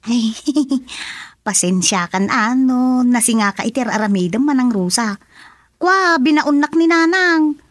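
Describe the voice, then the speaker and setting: An actress playing a polite, chatty younger neighbour in an Ilocano radio comedy, with an Ilocano Filipino accent. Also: female voice, fairly high pitch, expressive, unhurried, no room sound.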